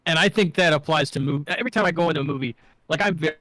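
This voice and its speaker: A man's voice: on the high side, very fast, bright, forceful tone, dry recording. A man co-hosting a casual pop culture discussion, agreeable and explaining his points with examples. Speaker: podcast co-host.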